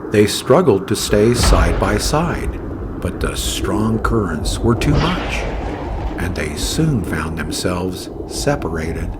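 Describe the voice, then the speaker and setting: A man narrates a children's tale in a low, gravelly voice. His delivery is very slow and dramatic, with big swings in pitch. There is clear noise in the recording. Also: very animated. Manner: dramatic, deliberate. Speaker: storyteller. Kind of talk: scripted children's story narration.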